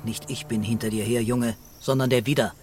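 Male police commissioner, acted, commanding, weary, quietly intense, German radio play, music behind. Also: medium pitch, fairly even intonation, brisk, close to an everyday voice. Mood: stern, quiet. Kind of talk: German radio crime drama acting.

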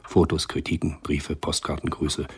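Very husky, deep male narrator. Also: completely flat delivery, moderate pace. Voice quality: very husky, rough. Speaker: narrator of a radio play. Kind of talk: scripted thriller narration.

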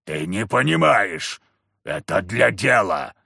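Мужчина озвучивает огромного невидимого мужика-великана из сказки, грубого и грозного, с рокочущей речью. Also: male voice, sing-song, takes it slowly, gravelly, sharp tone, slightly rough, no echo, studio quality, exaggerated voice. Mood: angry. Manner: booming, rumbling. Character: huge invisible giant husband, gruff and menacing.

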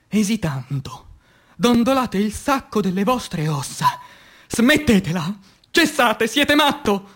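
A man reciting poetry as a stage actor, in a dramatic, declamatory and solemn manner with long pauses. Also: extremely high pitch, fairly bright tone. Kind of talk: dramatic theatrical poetry recitation for radio theatre.

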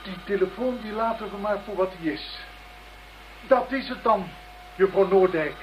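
An actress playing a cold, gloating villain in a radio drama, formal, mocking and politely menacing. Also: female voice, medium pitch, normal speed, highs cut off, a little hiss or hum, close to an everyday voice.

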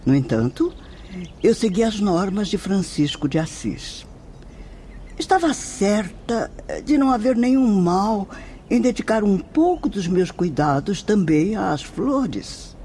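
A gentle, devout spirit nun with a Brazilian accent and a soft mellow tone. She speaks slowly and maternally, with lilting, expressive pitch, in a radio soap style. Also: a little hiss or hum. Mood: nostalgic, tender. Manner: slow, gentle, maternal, deliberate. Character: kindly, serene spirit nun of indefinable age, gently devout. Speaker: actor in a radio drama.

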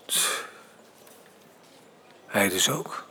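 A man's voice: very slow, pushed and bright, slight background noise, full character voice. A man playing a weary, learned middle-aged father in a radio drama, with a hesitant, explanatory way of talking. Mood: subdued.

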